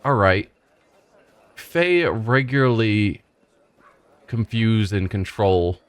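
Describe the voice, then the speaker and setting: A man's voice: on the low side, expressive, slow pace, dry recording. A man hosting a let's play, narrating and questioning characters with dry, skeptical asides. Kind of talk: gameplay commentary and reading dialogue. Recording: faint game music behind.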